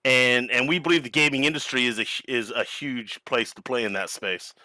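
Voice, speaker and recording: A man's voice: mid-range voice, fairly even intonation, fairly quick, bright, forceful tone, no room sound, low-quality recording. A man from New Zealand with an American accent, a business strategist chatting enthusiastically on a video call panel. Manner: enthusiastic, rambling. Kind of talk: casual panel chat.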